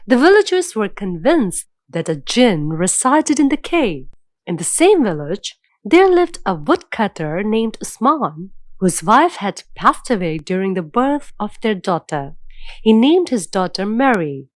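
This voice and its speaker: A woman narrating a scripted children's fairy tale in a measured, dramatic storytelling manner. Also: medium pitch, very animated, dry recording.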